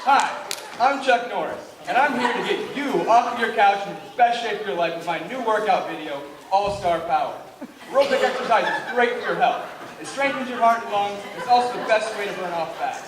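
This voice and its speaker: Macho workout-video pitchman, acted by a man, boastful, high-pitched; distant, roomy live recording. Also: brisk, crowd noise, natural voice. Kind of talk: mock infomercial in a live skit. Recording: echoey hall, distant mic, music behind.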